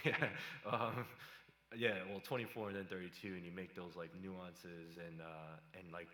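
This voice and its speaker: A man with a low voice, an electronic music producer giving a casual livestream tutorial from his home studio, loose and chatty with many fillers. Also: fairly bright tone. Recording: roomy echoey sound.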